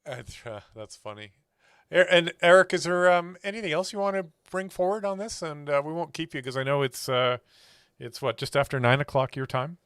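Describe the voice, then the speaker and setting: A man's voice: fairly high pitch, animated, brisk, fairly bright tone, smooth, no echo, clean studio sound. A man hosting an interview in a casual, conversational way. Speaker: interview host.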